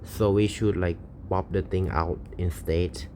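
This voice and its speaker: Slow, deep-voiced man. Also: steady tone, background noise. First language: Thai. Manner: hesitant, self-correcting, halting non-native English. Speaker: channel host. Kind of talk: thinking aloud while solving a coding problem.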